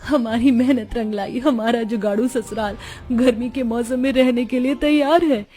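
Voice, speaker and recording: A woman's voice: a little high, fairly even intonation, moderate pace, warm tone, soft background music, lightly acted. A woman playing poor, dutiful and resourceful village housewives in a cartoon story. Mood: cheerful, resourceful. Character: poor village women, dutiful resourceful daughters-in-law and scolding mother-in-law.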